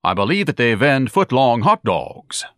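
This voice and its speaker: A man's voice: mid-range voice, sing-song, unhurried, a bit bright, no room sound, studio quality, voice pushed up. Man voicing a pompous, indignant grown son, sweeping intonation. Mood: aggrieved, lofty. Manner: grandiloquent, theatrical, formal diction.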